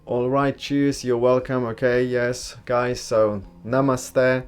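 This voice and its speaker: A man's voice: mid-range voice, slow pace, smooth. Easygoing man chatting on a livestream. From Poland. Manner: chatty, relaxed, reads and answers comments; blunt opinions. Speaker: livestream host. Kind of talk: casual live-stream chat with viewers.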